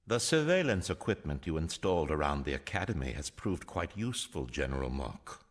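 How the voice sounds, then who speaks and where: An actor playing a menacing, scheming Imperial dark lord in an audio drama, measured, imperious and gravelly. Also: male voice, extremely low pitch, very animated, exaggerated voice. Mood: cold scheming.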